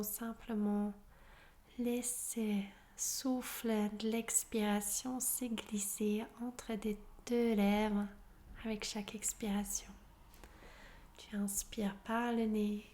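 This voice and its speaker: A woman leading a guided relaxation as a yoga nidra teacher, soothing and unhurried, often in a breathy half-whisper. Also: medium pitch, dark tone. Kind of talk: guided meditation, soft-spoken relaxation instruction.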